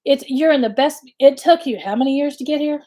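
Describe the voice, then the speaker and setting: A woman's voice: high-pitched, fairly quick, mellow. A woman in her late forties co-hosting a casual live chat, talking candidly with plenty of joking. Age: late 40s.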